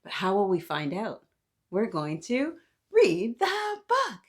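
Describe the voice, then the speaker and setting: A woman hosts storytime for young children, talking to them slowly and playfully. Her pitch swoops widely as she asks questions and encourages her little listeners. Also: huge pitch swings, husky.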